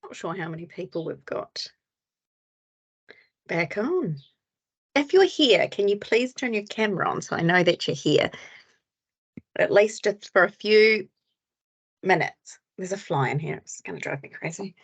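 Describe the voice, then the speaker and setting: Woman meeting host, mid pitch, chatty, laughs easily, video call. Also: lively intonation, unhurried, long pauses, breathy edge. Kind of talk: casual chat and hosting an online meeting.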